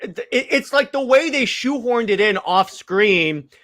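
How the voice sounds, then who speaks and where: A man's voice: very high-pitched, lively intonation, unhurried, fairly bright tone. A man co-hosting a casual podcast chat about comics, stammering and restarting often as he argues his point. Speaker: podcast co-host.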